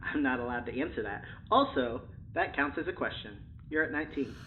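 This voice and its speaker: A man's voice: mid-range voice, animated, a little fast. A man playing a polite, awkwardly chatty possible AI in an audio drama, speaking carefully through a speaker filter. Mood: evasive, polite. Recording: thin phone-like band, faint noise.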